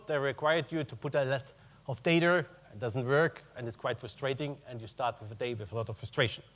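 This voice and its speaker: A middle-aged man with a clear German accent gives a conference talk in English. His pitch sits in the ordinary middle for a man. He talks in a loose, conversational way, tossing in wry asides and "huh?" to check in with the room. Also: a bit bright. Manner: conversational, adds "huh?" tags to check in with the audience; non-native English phrasing, wry asides. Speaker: conference speaker, purchasing executive. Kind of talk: business presentation with slides.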